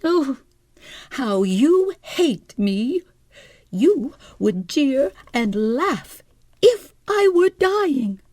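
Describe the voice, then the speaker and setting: A woman's voice: sing-song, extremely slow, a little mellow, no echo, studio quality, heavily acted. A woman voicing a fretful, self-pitying invalid wife who whines and dramatizes everything. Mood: plaintive. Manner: whining, theatrical, high-strung. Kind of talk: audiobook character voice.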